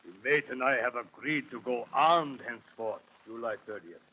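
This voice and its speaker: A man's voice: medium pitch, moderate pace, pushed and bright. An actor playing a grim, weary sea captain in a 1930s radio drama, reading his log in terse, clipped phrases. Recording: noisy, dull 1930s radio recording.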